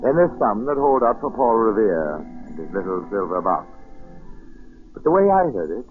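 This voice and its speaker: An actor playing a folksy storyteller who retells an old family tale in 1940s radio drama style, on a vintage radio recording. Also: male voice, lowish voice, narrow, tinny audio. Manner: folksy, yarn-spinning.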